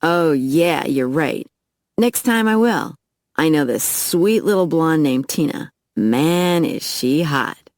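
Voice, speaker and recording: A woman's voice: low voice, lively intonation, slow pace, darkish tone, dry recording, clean studio sound. A woman voicing a cocky, easygoing young male undercover vampire with slangy, swaggering talk in an audiobook.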